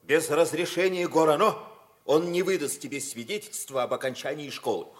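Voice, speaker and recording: A man's voice: fairly high pitch, normal speed, natural voice. An actor playing a stern but caring male school teacher, authoritative and ironic, in a mid-century radio drama. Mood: stern, scolding. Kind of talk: mid-century Soviet stage acting for radio.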